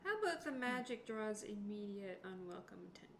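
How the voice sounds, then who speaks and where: A woman's voice: medium pitch, lively intonation, fairly quick. A woman playing a tabletop game, chatting casually in a playful, wry way about her character. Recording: echoey room with faint background noise.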